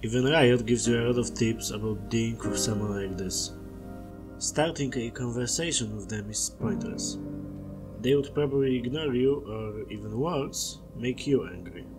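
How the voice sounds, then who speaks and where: Male video essay narrator, Polish accent, native Polish speaker, low-to-average pitch, clear music bed. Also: moderate pace. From Poland. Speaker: video creator. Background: background music.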